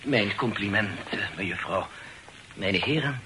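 Radio play: anxious, deferential old peacemaker, fussy politeness, very lively pitch, noisy old recording. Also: male voice, unhurried. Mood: meekly polite. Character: timid, deferential elderly man, anxious peacemaker.

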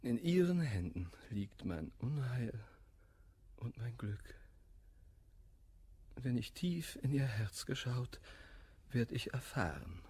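Husky, volatile jealous gentleman. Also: medium pitch, animated, takes it slowly, long pauses, heavily acted. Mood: wounded, resigned. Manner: declamatory, volatile, impassioned. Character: jealous, hot-tempered lovelorn gentleman, master to a servant. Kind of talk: classical verse comedy radio play acting.

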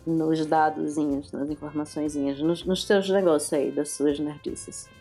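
A woman podcast host talking casually, pausing to search for words. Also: low voice, fairly even intonation, dark tone, soft background music. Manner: conversational, searches for words, drawn-out fillers.

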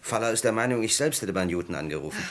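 A man's voice: on the low side, lively intonation, rapid-fire, lightly acted. An actor playing a bitter, despairing young man wrongly accused of murder in a vintage radio crime drama, brooding and resigned.

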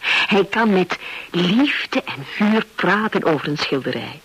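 A self-possessed lady telling her story in a radio drama. Her voice is very husky and expressive. She takes her time, confiding candidly in the listener. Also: on the low side, heavily acted, rough recording. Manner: confiding, deliberate. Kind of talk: first-person radio play narration.

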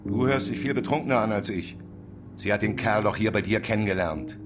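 A man's voice: on the low side, a little fast, over music, natural voice. An actor playing a gullible, earnest man in trouble in a radio drama. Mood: insistent.

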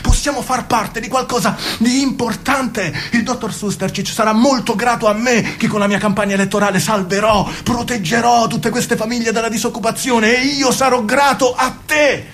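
An actor playing a smooth-talking male political candidate who coaxes and persuades with a chuckle. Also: extremely high pitch, steady tone, moderate pace, few pauses, a bit bright, a little hiss or hum. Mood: persuasive. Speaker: radio drama actor. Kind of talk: radio play acting.

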